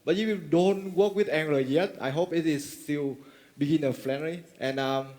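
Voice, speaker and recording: A man from Vietnam, giving a friendly technical conference talk, halting and full of fillers and restarts. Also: fairly high pitch, a little mellow. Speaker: conference speaker. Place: large conference hall. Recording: long reverb of a large hall.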